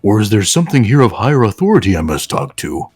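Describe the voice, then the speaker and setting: A man's voice: on the low side, big pitch swings, fast, darkish tone, husky, no echo, full character voice. A man voicing a courteous golden animatronic lion with formal, polite manners in a comic fan fiction. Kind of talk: comedic fan fiction read-aloud.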